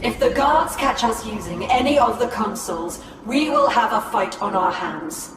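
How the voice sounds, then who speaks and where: An actress playing a scheming female elf seer who speaks in a measured, solemn way. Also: fairly high pitch, animated, background noise, lightly acted. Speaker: Farseer. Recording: echoey hall, from far off, loud music.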